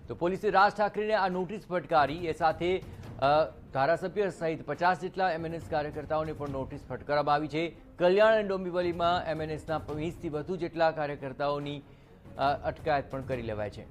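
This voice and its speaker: A man's voice: fairly high pitch, brisk. A man anchoring a news broadcast, reading and ad-libbing the reports. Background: background music.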